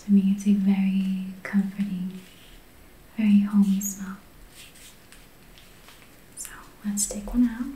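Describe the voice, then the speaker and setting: A woman softly guiding an ASMR healing session in a breathy, whispery voice, gentle and soothing. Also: mid-range voice, flat delivery, takes it slowly, pauses often, roomy sound, a little hiss or hum, low-quality recording. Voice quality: breathy, whispery, soft-spoken. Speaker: ASMR Reiki practitioner and host. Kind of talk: soft-spoken ASMR guided healing session.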